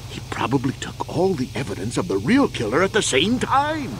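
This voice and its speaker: Weary old veteran, animated delivery. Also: male voice, medium pitch, normal speed, a little hiss or hum, poor audio. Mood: defensive, insistent. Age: older. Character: proud, weary old accused war veteran, man, defensive. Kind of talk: video game voice acting.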